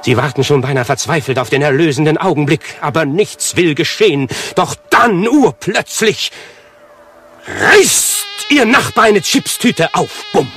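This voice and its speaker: An actor playing a pompous male artist in a radio play, declaiming dramatically with drawn-out pauses. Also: on the high side, lively intonation, fairly bright tone, soft background music. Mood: dramatic suspense. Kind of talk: theatrical radio play monologue.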